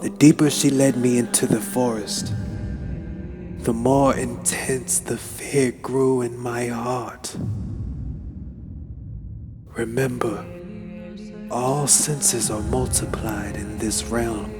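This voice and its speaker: A man narrates a horror tale in a dramatic, solemn style. His delivery is slow and deliberate, built for suspense, with a medium-pitched voice. Music plays clearly behind him. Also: steady tone. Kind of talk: dramatic horror story reading. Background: background music.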